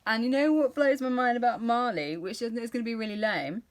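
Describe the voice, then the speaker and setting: A woman's voice: a little high, fast. A British woman in her thirties, chatting casually and enthusiastically about books she has read, rambling a little and using many fillers. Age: 30s. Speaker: book vlogger. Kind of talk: casual book review chat.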